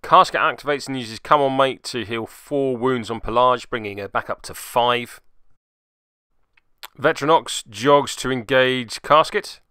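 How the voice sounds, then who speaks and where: A man's voice: medium pitch, a little slow, pushed and bright, clear, resonant tone, no room sound, studio quality. A man narrating a casual play-by-play recap of a tabletop game as its host, with wry, self-deprecating asides.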